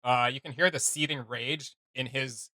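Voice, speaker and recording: A man's voice: mid-range voice, fairly even intonation, normal speed, bright, forceful tone, no room sound. A man hosting a reaction video, chatting casually and hesitantly, with lots of fillers as he thinks aloud. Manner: hesitant, filler-heavy musing.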